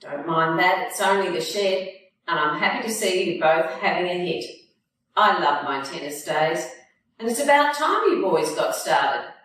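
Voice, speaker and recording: A woman's voice: lowish voice, expressive, measured pace, a bit bright. A woman reading the part of a friendly, encouraging old country grandfather, hearty and easygoing, from a storybook. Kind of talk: storybook character dialogue. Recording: echoey room, distant mic, faint noise.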